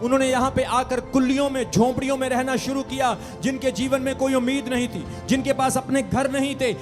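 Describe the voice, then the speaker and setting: A man preaching a sermon as pastor, with a fervent, repetitive cadence, calling on the congregation to clap and shout. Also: extremely high pitch, flat delivery, brisk, big-room sound, over music.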